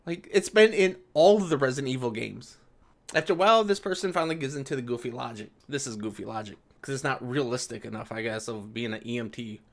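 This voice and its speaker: A man's voice: mid-range voice, lively intonation, fast talker. A man giving casual reaction commentary on an article, sarcastic and exasperated, swearing freely. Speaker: video commentator.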